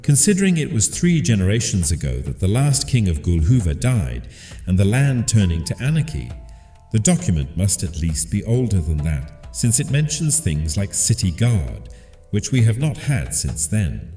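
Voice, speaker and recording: A man with a low, mellow voice delivers scripted commentary like an archivist. His manner is formal and explanatory, with some movement in pitch. The recording is roomy, with faint music. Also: sing-song, moderate pace. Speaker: narrator.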